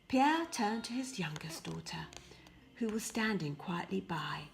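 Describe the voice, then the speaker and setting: A woman reading a fairy tale as expressive, deliberate narration, with hushed phrase endings. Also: mid-range voice, gravelly. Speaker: storybook reader.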